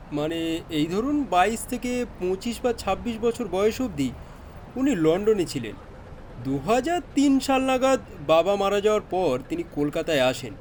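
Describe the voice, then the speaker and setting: A man's voice: high voice, a little mellow, slight background noise. An actor playing a diligent, deferential police inspector, explaining his findings with respectful hesitation in an audio crime drama. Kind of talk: Bengali audio detective drama acting.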